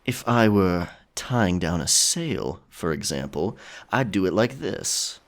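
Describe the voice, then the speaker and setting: An actor playing a teasing, self-assured pirate sailor in an audio drama. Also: male voice, sits low, big pitch swings, slow pace, heavily acted. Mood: serious, instructive.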